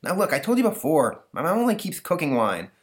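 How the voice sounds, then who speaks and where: A man's voice: fairly high pitch, wildly swooping pitch, fairly quick, pitched above the performer's own voice. A man voicing an earnest, exasperated little boy who protests eagerly, for a comic story. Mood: scolding.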